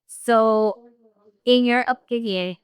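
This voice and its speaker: Woman nail tech asking a question, smooth clear voice, fairly high, dry sound. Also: fairly even intonation, slow pace, no room sound. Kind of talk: audience question.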